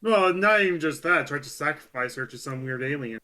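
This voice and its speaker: Bright-toned man chatting casually. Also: a little high, expressive, a little fast. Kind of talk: casual chat.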